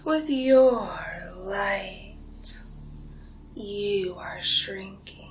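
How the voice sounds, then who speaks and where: A woman acting as an ancient female vampire, predatory and seductive, whispers in a breathy ASMR roleplay. She speaks extremely slowly, savoring things with a condescending air. Clear background noise sits behind the voice. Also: medium pitch, rough recording. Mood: hypnotic, predatory. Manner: slow, hushed, drawn-out, savoring delivery.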